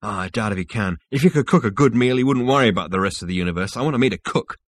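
A man's voice: fairly low pitch, rapid-fire, dry recording, natural voice. A man voicing a flippant, suspicious, slangy male space rogue in an audiobook. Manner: slangy, incredulous, demanding. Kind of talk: audiobook character dialogue.

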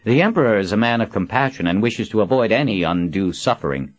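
A man's voice: fairly low pitch, sing-song, quick pace, no room sound, dull audio, pitched above the performer's own voice. A man voicing a cocky young male assassin, boyish and mocking, with falsely polite, offhand talk. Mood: smug.

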